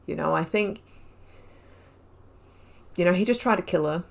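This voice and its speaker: A woman's voice: on the low side, measured pace, gravelly. A woman giving chatty let's-play commentary, thinking aloud with many fillers. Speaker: gaming YouTuber.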